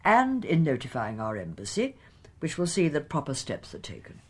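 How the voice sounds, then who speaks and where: Animated, stiffly formal traveller. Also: female voice, sits low, normal speed, husky, heavily acted. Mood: indignant. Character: indignant, formal traveller threatening diplomatic complaint. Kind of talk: radio drama acting.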